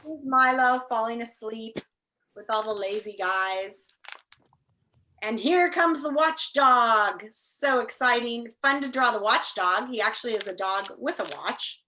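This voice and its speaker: A woman's voice: on the high side, a little slow, bright, forceful tone, a little hiss or hum, low-quality recording. A woman teacher talking and reading aloud to an online class, enthusiastic and encouraging. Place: indoors, on a video call.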